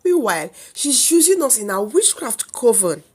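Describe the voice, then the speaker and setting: A woman's voice: fairly high pitch, very animated, normal speed, breathy edge. A woman with a Nigerian accent voicing a spiteful, jealous village gossip in a folktale, scornful and mocking.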